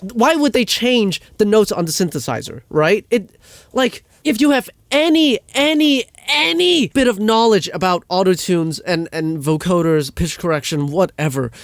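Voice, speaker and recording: A man's voice: high voice, no room sound, studio quality. A man delivering a casual, exasperated rant as a video creator, blunt and emphatic, with swearing. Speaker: video creator ranting about music production.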